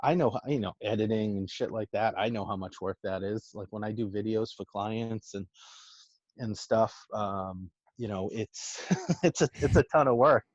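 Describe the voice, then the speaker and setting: A middle-aged man with a thick Massachusetts accent talks in a low voice, rambling and cursing freely. The sound is dry and a bit dull, like a lo-fi recording. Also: normal speed, no echo. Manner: rambling, profane, many restarts and fillers. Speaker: podcast guest, social media marketer. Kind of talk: casual chat.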